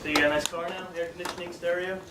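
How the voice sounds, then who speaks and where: A man's voice: sits high, little pitch movement, rapid-fire, lightly acted. A young man acting on stage as a dead teenage best friend, nostalgic, casual and earnestly questioning. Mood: nostalgic, probing. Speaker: stage actor. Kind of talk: live stage play acting, conversational dialogue. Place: theatre stage indoors. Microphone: far camera. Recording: echoey, distant, noisy theatre recording.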